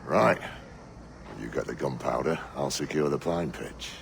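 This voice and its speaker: An actor playing a bitter, hot-tempered pirate captain in a video game, with a gravelly voice and a blunt, scornful, cursing manner. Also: male voice, extremely low pitch, unhurried, exaggerated voice. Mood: gruff, scheming. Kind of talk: video game character acting. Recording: noisy game ambience behind voice.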